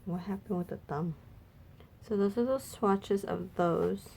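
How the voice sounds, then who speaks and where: A woman makeup vlogger chatting casually and thinking aloud, her voice a little raspy and stuffy from a cold. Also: medium pitch, a little slow, darkish tone, a little hiss or hum. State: slightly raspy, congested from a cold. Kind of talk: casual makeup swatch review chat.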